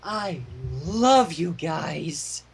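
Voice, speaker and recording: A man's voice: fairly high pitch, animated, gravelly, slightly rough, heavily acted. Man playing a giddy, tipsy little boy dragon. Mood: giddy, loving. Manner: slow, sincere, tipsy gushing.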